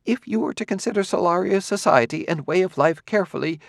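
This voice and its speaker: Male narrator putting on a fussy, squeamish older scholar; high, wavering, gravelly edges, dry close sound. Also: huge pitch swings, normal speed, no echo, heavily acted. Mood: jerky eager. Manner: high, shrinking, hesitant delivery. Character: nervous aging male sociologist, fussy and squeamish.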